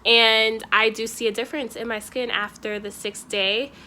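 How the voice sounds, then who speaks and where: A woman's voice: fairly high pitch, little pitch movement, normal speed, bright, forceful tone, slight background noise. Congested young woman chatting to camera. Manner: chatty, filler-heavy with "like" and "um". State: congested and nasal from a cold. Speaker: beauty vlogger. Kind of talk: casual vlog chat to camera.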